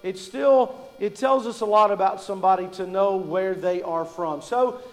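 High-voiced pastor from Kentucky, joking. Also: male voice, moderate pace, in a large hall. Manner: folksy, joking storyteller. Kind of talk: sermon.

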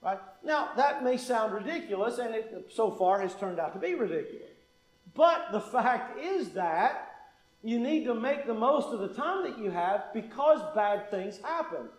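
A man with a high voice preaches earnestly, repeating his key phrases for weight and darting into quick asides. The sound is roomy, with a clear echo around him, as in a church hall. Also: expressive. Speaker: pastor. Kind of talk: sermon.

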